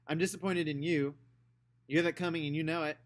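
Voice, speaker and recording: Man reading a stern, measured uncle. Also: fairly high pitch, fairly even intonation, close to an everyday voice. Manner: firm and measured. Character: stern but kindly uncle, middle-aged man.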